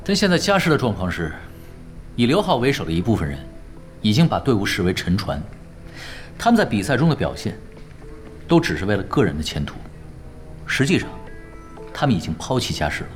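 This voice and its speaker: An actor in a radio drama playing a composed, dry-witted esports veteran who explains things in a matter-of-fact way. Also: male voice, lowish voice, very animated, brisk, pauses often, faint music behind. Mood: calm analysis. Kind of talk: Chinese radio drama acting.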